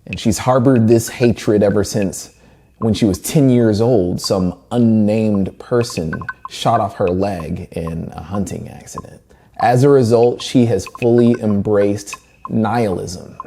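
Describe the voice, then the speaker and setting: A man's voice: on the low side, measured pace, mellow, slight background noise. A man presenting a semi-scripted literary analysis in a conversational way, with wry asides. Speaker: presenter reviewing a short story.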